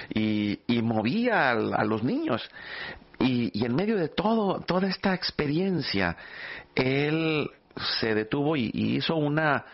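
A middle-aged man speaks slowly and reflectively as the host of a religious program. His voice has a creaky, gravelly edge, and he often repeats words while searching for the next one. The recording is a little dull and lo-fi. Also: on the low side. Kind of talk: reflective faith talk with personal anecdotes. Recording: slightly dull, lo-fi audio.

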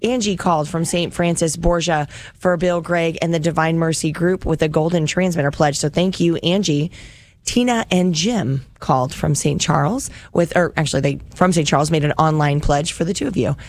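A woman's voice: low-pitched, moderate pace, darkish tone, dry recording. A woman radio host chatting with guests in a live interview and appealing for pledges. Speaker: radio host on a fundraising broadcast.

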